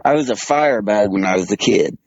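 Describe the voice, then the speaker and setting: A man's voice: lowish voice, expressive, normal speed, breathy edge, dry recording. A man calling in casually to a radio show from inside a parked truck. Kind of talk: casual chat. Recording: dull lo-fi internet call.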